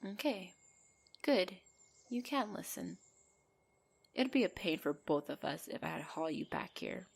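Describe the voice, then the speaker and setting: A woman's voice: fairly low pitch, lively intonation, unhurried, vocal fry, pauses often, slightly rough, full character voice. A woman voicing a possessive female centaur in an ASMR roleplay, bantering and commanding, with dry exasperation. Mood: firm, conversational. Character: possessive female centaur, bossy, teasing, half-gentle captor.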